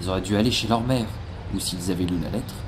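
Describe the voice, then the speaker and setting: A man's voice: sits low, quick pace, lightly acted. An actor playing a nervous, deferential male informant in a French audio drama, hesitant and colloquial, eager to explain himself. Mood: earnest, deferential. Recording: noisy outdoor street ambience.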